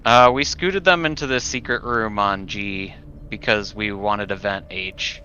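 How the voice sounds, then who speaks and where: Slow, bright-voiced man, tentative. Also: fairly low pitch. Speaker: player in a tabletop roleplaying game. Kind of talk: casual game chat. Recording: dull, faintly noisy room recording.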